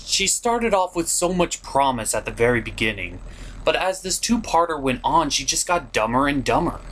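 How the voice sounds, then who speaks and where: A man's voice: medium pitch, sing-song, normal speed, slight background noise, low-quality recording. A man reviewing a cartoon as a show host, theatrical and exasperated in his opinionated commentary. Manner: theatrical, exasperated, swings into shouted outbursts.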